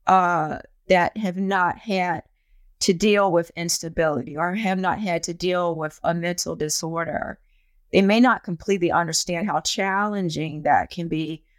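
A woman's voice: lowish voice, fairly even intonation, a little slow, no room sound. A woman hosting an interview podcast in a conversational style.

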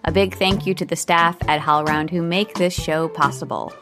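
Lower-voiced woman hosting a podcast interview. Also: fairly even intonation, normal speed, music in the background.

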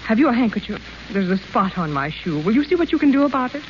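An actress playing a haughty, spiteful society sister in a 1930s radio play, cold and sarcastic. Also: female voice, on the high side, very animated, quick pace. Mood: sneering. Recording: muffled hissy 1930s radio broadcast.

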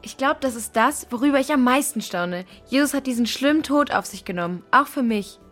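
Sincere young girl in kids audio drama, highish voice, earnest, faint music under it. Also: animated, fairly quick, fairly bright tone. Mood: earnest, hopeful. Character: earnest young girl who lost her mother. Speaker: actor in a children's audio drama. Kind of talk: German children's radio play acting.

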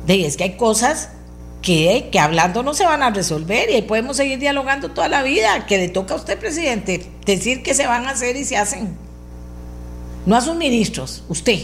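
A woman's voice: fairly high pitch, a little hiss or hum. Emphatic Costa Rican woman with a powerful voice. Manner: emphatic, insistent, repeats phrases for stress. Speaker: talk show host. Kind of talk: opinionated political commentary addressed to listeners.

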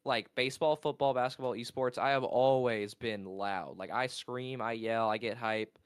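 A young man from Georgia, a college esports player and caster, talking in a casual interview with a naturally loud voice, rambling excitedly and losing his thread. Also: mid-range voice, moderate pace, smooth, no echo, studio quality. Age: young adult.

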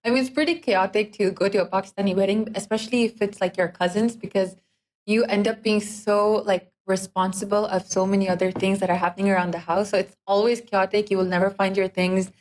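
A woman's voice: medium pitch, steady tone, fairly quick, a little mellow, low-quality recording. A woman in her twenties from Dubai, a guest telling a personal story in an interview. She is chatty, fills her speech with "like" and "uh", and laughs lightly. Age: 20s. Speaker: podcast guest.

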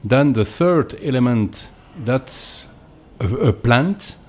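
A man with a French accent, a winemaker being interviewed, explaining things in hesitant, deliberate non-native English. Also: mid-range voice, animated, a little mellow. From Alsace, France.

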